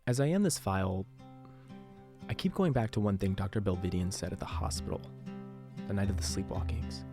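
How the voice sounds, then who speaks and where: An actor playing an embittered, haunted radio DJ who narrates a secret case file conversationally for a podcast drama. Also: male voice, deep voice, sing-song, brisk, music in the background. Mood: sober, guarded.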